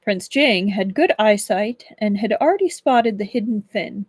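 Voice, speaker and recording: A woman livestreaming as a book reader, with expressive storybook narration and casual chat. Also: medium pitch, a little slow, breathy edge, no echo.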